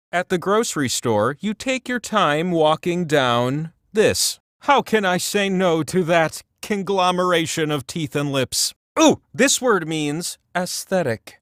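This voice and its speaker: A man's voice: high-pitched, fairly bright tone, no room sound, clean studio sound, heavily acted. A man voicing a vain, playful talking wheel that hosts a comic trivia game with theatrical, mock-grand delivery and wry asides. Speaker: game show host. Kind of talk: scripted quiz hosting with comic asides.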